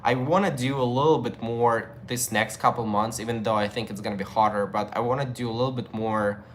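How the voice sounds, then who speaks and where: Male streamer, young adult; casual, rambling chat with viewers, mid pitch, faint noise behind him. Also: fairly even intonation, fairly quick. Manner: loose, chatty, with many fillers and restarts. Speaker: livestream host. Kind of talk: casual livestream chat, reading viewer comments.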